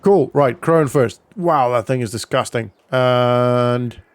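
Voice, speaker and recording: Male gaming vlogger, smooth clear voice, close dry sound, informal think-aloud roleplay commentary. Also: mid-range voice, slow, no echo. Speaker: gaming vlog host. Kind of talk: casual roleplay gameplay commentary.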